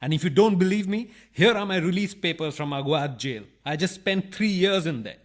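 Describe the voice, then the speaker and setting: An actor playing a cheeky, indignant burglar in a farcical radio play, protesting politely and taking things comically literally. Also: male voice, sits high, expressive, normal speed. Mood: indignant, proud.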